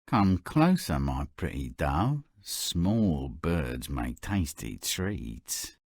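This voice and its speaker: A man playing a sly, gluttonous big bad wolf with a rasping, drawn-out, theatrical delivery in a fairy-tale game. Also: extremely low pitch, very animated, a little mellow, dry recording, clean studio sound, heavily acted. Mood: sly, hungry. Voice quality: gravelly, rasping.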